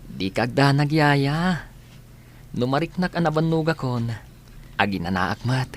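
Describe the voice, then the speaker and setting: A man's voice: medium pitch, expressive, measured pace, slight background noise, close to an everyday voice. A man playing a dutiful, determined, sickly young student in a radio drama, speaking in an earnest, reassuring way. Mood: reassuring, grateful.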